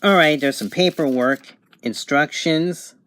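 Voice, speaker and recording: A man giving a casual, unscripted product review at home, thinking aloud in halting phrases. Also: on the high side, a bit bright, slight background noise. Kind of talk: casual unboxing and review narration. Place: home bedroom, indoors.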